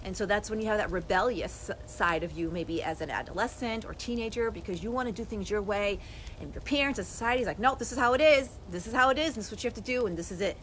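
A woman in her forties giving an earnest, animated motivational talk to a phone camera on a lawn outdoors. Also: medium pitch, fast, a little hiss or hum, rough recording. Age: 40s. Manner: earnest, animated, gestures with both hands. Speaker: life coach vlogger. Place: outdoors on a lawn by bare woods. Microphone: phone camera at arm's length.

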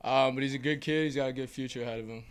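A young man, a college baseball player, giving relaxed postgame answers full of fillers into a handheld mic. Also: medium pitch, rapid-fire, clean tone. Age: young adult. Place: small indoor press backdrop. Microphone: handheld mic held by host.

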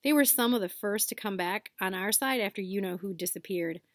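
A woman's voice: medium pitch, brisk, no echo, clean studio sound, close to an everyday voice. A woman voicing a gangly, grumpy schoolboy who grumbles darkly. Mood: grumbling. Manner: dark, grumbling.

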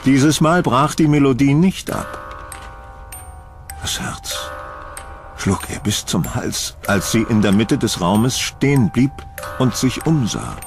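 A man narrating a scripted horror audio drama in a suspenseful, deliberate storytelling manner. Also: mid-range voice, expressive, soft background music.

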